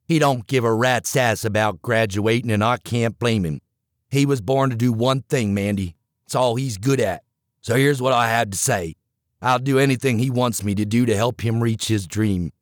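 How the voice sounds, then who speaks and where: A man reading the part of a loyal young male friend, steady and plain-spoken. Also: medium pitch, normal speed, dry recording, studio quality, voice pushed down, close to an everyday voice.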